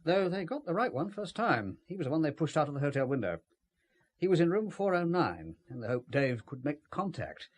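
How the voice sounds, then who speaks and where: Suave, husky British spymaster, lively intonation. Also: male voice, on the high side, brisk. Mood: composed, explanatory. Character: suave, calculating male British spymaster, evasive and unruffled. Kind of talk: radio drama acting.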